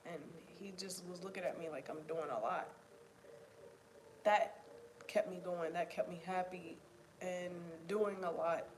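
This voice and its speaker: A soft-spoken woman talking reflectively to the camera at home, pausing to think and at times dropping almost to a whisper. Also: lowish voice, steady tone, slight background noise, rough recording. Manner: pauses to think, drops to near whispers. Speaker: video journaler. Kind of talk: reflective casual talk to camera.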